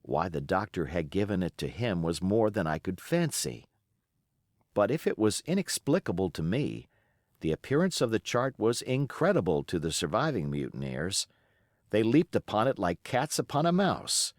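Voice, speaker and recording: A man's voice: low-pitched, big pitch swings, normal speed, no echo, clean studio sound. A man reading an adventure novel aloud as scripted audiobook narration. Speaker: audiobook reader.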